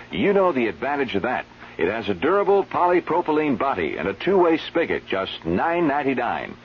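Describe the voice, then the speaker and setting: Radio ad spokesman: man, lively, varied intonation, seventies retail pitch; muffled recording. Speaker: commercial spokesman. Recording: muffled, hissy old radio broadcast.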